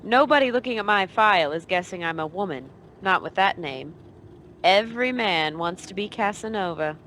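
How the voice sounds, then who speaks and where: Brazen noir femme fatale. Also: female voice, mid-range voice, animated, moderate pace, a bit bright, a little hiss or hum. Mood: sly, teasing. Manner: cool, brazen, wisecracking. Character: tough, teasing, dangerous female femme fatale operative. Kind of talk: 1940s noir radio play acting.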